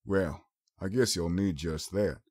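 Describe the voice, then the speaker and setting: A man voicing a kindly, playful grown male guardian pony in an audiobook, warm, reassuring and teasing. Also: deep voice, slow pace, slightly rough, full character voice. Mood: playful, encouraging.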